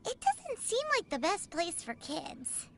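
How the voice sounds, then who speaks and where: A woman's voice: very high-pitched, wildly swooping pitch, vocal fry, exaggerated voice. A woman voicing a tiny, chatty, sassy floating companion in a game cutscene, bubbly and blunt. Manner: bubbly, blunt, quick to complain. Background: game music.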